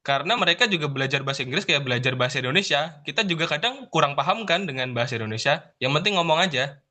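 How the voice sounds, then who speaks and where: A man's voice: on the high side, lively intonation, very fast, bright, forceful tone. A man giving encouraging advice as a speaker in an online sharing session. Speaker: exchange program speaker. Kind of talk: casual advice.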